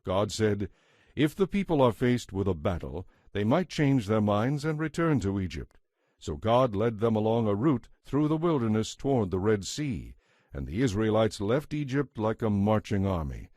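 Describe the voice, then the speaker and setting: A man narrating a dramatized Bible reading in a measured, solemn, scripted manner. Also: on the low side, sing-song, a little mellow, no echo, studio quality.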